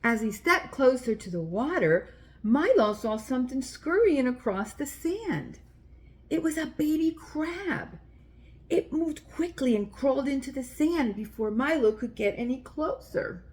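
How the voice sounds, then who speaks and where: A woman's voice: high-pitched, sing-song, a little slow, darkish tone. A woman hosting a children's story time, reading aloud and speaking directly to young listeners in simple, explanatory language.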